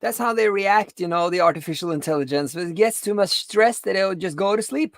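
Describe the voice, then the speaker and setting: A woman's voice: mid-range voice, brisk, dry recording, clean studio sound. A woman co-hosting a coding live stream with casual chat and short asides.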